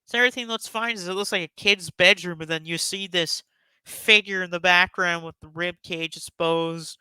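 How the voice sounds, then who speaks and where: A man's voice: high-pitched, fairly even intonation, normal speed, bright, forceful tone, smooth, dry recording, poor audio. A man hosting a casual, enthusiastic countdown commentary, speaking in an emphatic, effusive and rambling way. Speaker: YouTube host giving a top ten countdown.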